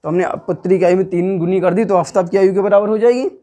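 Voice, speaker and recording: A man's voice: high voice, fairly even intonation, quick pace, mellow. A man with a Hindi accent teaching maths step by step, asking the class questions and answering them himself, patiently repeating each step. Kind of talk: classroom lesson, explaining step by step.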